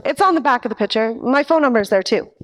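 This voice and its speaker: Noir parody nightclub singer, female actor: high voice, jittery, pleading, faltering helpless-dame delivery. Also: lively intonation, quick pace, natural voice. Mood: uneasy. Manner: hesitant, imploring. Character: nervous, pleading female nightclub singer, a femme fatale client. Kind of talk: 1940s radio noir parody acting.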